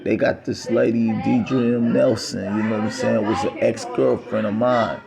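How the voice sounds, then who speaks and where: Deep gravelly man ranting. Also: fairly even intonation, moderate pace, crowd noise. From United States. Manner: insistent, repetitive, emphatic. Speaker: vlogger. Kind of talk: rambling to-camera monologue.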